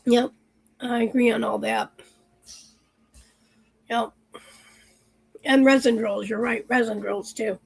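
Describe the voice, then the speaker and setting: Chatty lady livestreaming, voice going hoarse, breathy and kinda high. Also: fairly even intonation, takes it slowly, pauses often, low-quality recording. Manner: chatty, rambling, lots of fillers. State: losing her voice, hoarse. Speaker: livestream host. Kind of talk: casual chat with live chat viewers.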